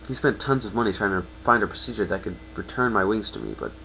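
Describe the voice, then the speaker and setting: Man as a low-voiced, downcast young pegasus stallion. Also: fast, creaky, bright, forceful tone, a little hiss or hum, rough recording. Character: downcast young male pegasus, injured and self-blaming.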